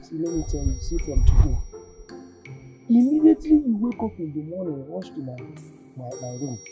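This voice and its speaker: A woman's voice: low-pitched, very animated, moderate pace. Bossy scheming male house steward with a nigerian accent, played by a woman, mocking tone, light music behind. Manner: mocking, bullying, laughing. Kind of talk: comedy skit acting.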